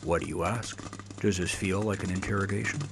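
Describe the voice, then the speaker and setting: A man's voice: low voice, steady tone, brisk, background noise. A man voicing a sullen, suspicious, volatile young man in a dramatic audio story, speaking tersely. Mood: accusing.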